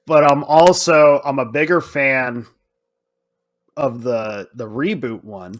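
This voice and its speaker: A man's voice: medium pitch, takes it slowly. A man co-hosting a podcast, chatting casually about films in an informal, emphatic way that repeats points for stress. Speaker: podcast co-host. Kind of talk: casual film discussion.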